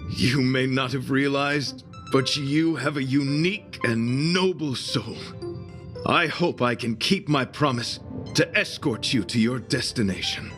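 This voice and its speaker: A man's voice: medium pitch, measured pace, music in the background, close to an everyday voice. A man voicing an earnest, idealistic young knight in a video game, courtly, florid and theatrical. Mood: noble resolve. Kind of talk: video game character acting.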